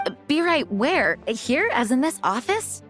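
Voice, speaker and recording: A woman's voice: high-pitched, big pitch swings, measured pace, a bit bright, soft background music, exaggerated voice. A woman voicing an earnest, dutiful young woman leader in an anime game, sincere and polite. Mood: puzzled.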